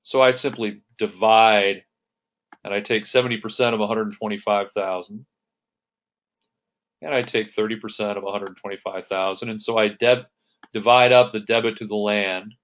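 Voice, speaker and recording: A man teaching an accounting lesson, working through the entries one step at a time and clearing his throat now and then. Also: lowish voice, steady tone, moderate pace, a bit bright. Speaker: accounting instructor. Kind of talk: lecture over slides.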